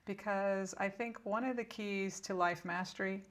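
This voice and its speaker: A woman's voice: medium pitch, fairly even intonation, normal speed, fairly bright tone. Instructive woman explaining in an interview. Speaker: interview guest, spiritual teacher and author.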